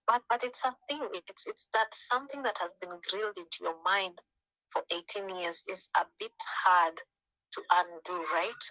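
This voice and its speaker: A woman from Africa giving an informal talk to a group on a video call, switching to lively voices when quoting parents. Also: normal speed, dry recording, rough recording. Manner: conversational, acts out quoted parents with jumps in pitch.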